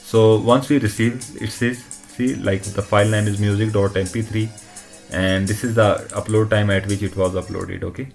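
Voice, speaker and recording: Guy with a really deep gravelly voice doing a casual tech tutorial, kinda halting, keeps checking in. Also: fairly even intonation, a little mellow, soft background music. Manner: halting, repeatedly checks in with short tag questions. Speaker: tutorial presenter. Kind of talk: informal technical demo walkthrough.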